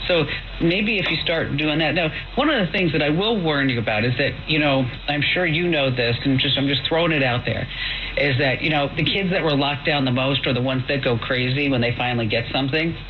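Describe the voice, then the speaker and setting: Male call-in tech host, fairly high voice, chatty and informal, heard through noisy, muffled radio. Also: brisk. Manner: informal, exclamatory, peppered with "you know". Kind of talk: casual, chatty radio advice. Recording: noisy, dull shortwave radio.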